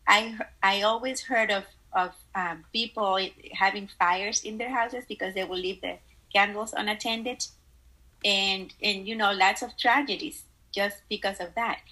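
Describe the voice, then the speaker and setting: A woman from the Dominican Republic talks as a podcast guest, her voice bright with a husky edge. She tells her stories earnestly, stringing thoughts together with "um" and "and so". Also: mid-range voice, fairly even intonation, measured pace, rough recording. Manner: earnest storytelling with many "um" and "and so". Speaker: company co-founder, guest. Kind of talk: casual podcast interview.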